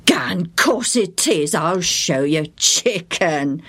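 A woman voicing a bossy schoolboy who leads the others and mocks a friend, loud and boastful. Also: lowish voice, animated, slow pace, slightly rough, heavily acted. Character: bossy teasing schoolboy leader.

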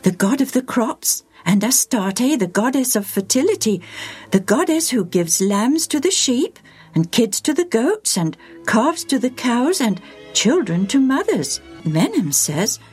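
Lady doing a shy lovestruck teen girl, high voice, pleading and stubborn, kids bible story. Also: very animated, measured pace, darkish tone, slightly rough, faint music behind, full character voice. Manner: pleading, earnest. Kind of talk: dramatised Bible storytelling for children.

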